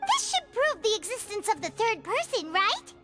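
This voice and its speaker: Squeaky little fairy companion. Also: female voice, sing-song, moderate pace. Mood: inquisitive. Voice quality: squeaky, childlike. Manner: exclamatory, theatrical, refers to self in third person. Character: tiny childlike fairy companion, excitable, earnest, self-important. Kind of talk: video game voice acting. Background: music.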